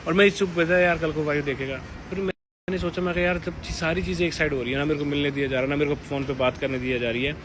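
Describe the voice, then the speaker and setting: A man's voice: a little high, steady tone, fast talker. Aggrieved young man, noisy recording. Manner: aggrieved, repetitive, insistent. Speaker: vlogger. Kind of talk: unscripted personal address to viewers.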